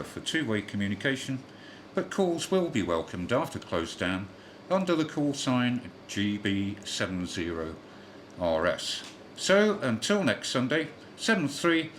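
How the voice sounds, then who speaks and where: A man's voice: fairly low pitch, a bit bright, slight background noise. A man reading a scripted amateur radio news bulletin in a formal read-aloud delivery.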